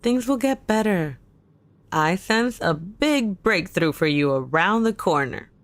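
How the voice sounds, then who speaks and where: An actress playing a supportive, practical young best friend in an audio drama, with a reassuring, casual way of talking. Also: female voice, mid-range voice, animated, measured pace, dry recording, close to an everyday voice. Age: young adult.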